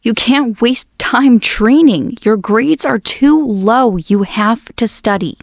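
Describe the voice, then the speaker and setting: A woman's voice: fairly high pitch, slow pace, gravelly, no echo, clean studio sound. A woman playing a strict, unyielding mother in a comic dub, firm and speaking in clipped sentences. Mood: stern. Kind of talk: fandub acting.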